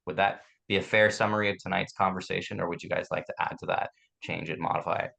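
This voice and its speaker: A man hosting a panel discussion on a video call, his voice hoarse from a sore throat as he sums up and invites correction. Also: sits low, steady tone, fast talker, a bit bright. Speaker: podcast host.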